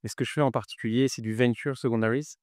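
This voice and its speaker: A man in his thirties from New Caledonia answering interview questions conversationally, often pausing on fillers and repeating words. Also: mid-range voice, steady tone, a little mellow, dry recording, studio quality. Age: 30s. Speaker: podcast guest, finance executive.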